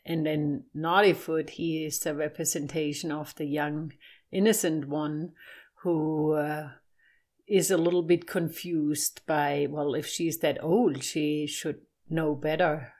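Older German woman, low-pitched and slow. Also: little pitch movement, a little mellow. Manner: halting, searching for words. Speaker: storyteller, interview guest. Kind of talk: reflective interview talk.

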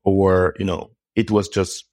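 A man with a very low voice speaks as an interview guest. He talks in a reflective, unhurried way, thinking aloud and often dropping in "you know". The recording is dry and close, with little room sound. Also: flat delivery, darkish tone, no echo. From Cameroon. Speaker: podcast guest, neurotechnologist. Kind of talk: casual interview conversation.

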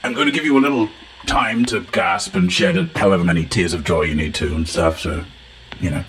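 A man's voice: sits low, a little fast, fairly bright tone, a little hiss or hum, voice pushed down. A man voicing a pompous, bumbling professor who rambles in flowery speech with blunt asides, in a comic fantasy game. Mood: pompous, teasing. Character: pompous, bumbling, long-titled male professor of adventure lore. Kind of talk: comic in-character monologue as a recorded message.

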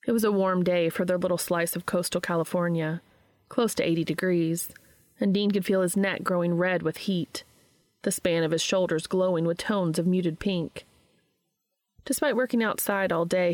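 Low, creaky woman narrator. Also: dry recording. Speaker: podcast host and reader. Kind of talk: scripted narration, reading fan fiction aloud.

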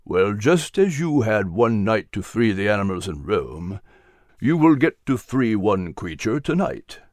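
A narrator voicing a calm, wise and kindly male guide in a children's audiobook. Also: medium pitch, measured pace, dry recording, studio quality. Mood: solemn.

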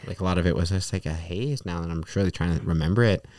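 Very deep-voiced rambling young man. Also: fast, darkish tone, no room sound, clean studio sound. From New York. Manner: rambling, full of "like" and restarts. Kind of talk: casual podcast chat.

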